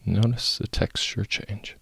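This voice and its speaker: A man guiding a meditation in a calm, unhurried, soft-spoken way, with long pauses and a husky, gravelly voice. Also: on the low side, dry recording. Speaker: guided meditation teacher. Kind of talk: guided meditation, slow instructional narration.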